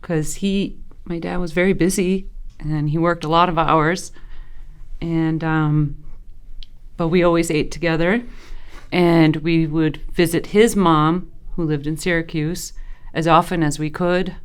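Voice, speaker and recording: A woman's voice: sits low, level tone, measured pace, smooth. A woman giving a thoughtful interview answer about family and perseverance.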